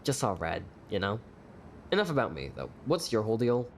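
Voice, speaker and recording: A man's voice: fairly low pitch, huge pitch swings, brisk, slight background noise. An actor playing a sassy, hot-tempered young male bar performer in an audio drama, sarcastic, flippant and sweary. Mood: teasing banter.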